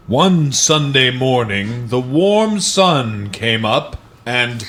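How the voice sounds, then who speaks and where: A man's voice: medium pitch, animated, drawn-out words, a bit bright, slight background noise. A man hosting, reading children's books aloud and chatting casually about them. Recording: music behind the voice. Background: background music.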